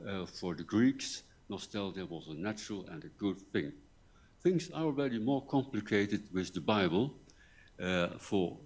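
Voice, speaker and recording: An older man with a soft voice, giving a lecture in a careful, halting way with repeated words and pauses. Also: low-pitched. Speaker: visiting academic lecturer. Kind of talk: conference lecture in English. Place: university lecture hall. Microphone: table microphone, seated.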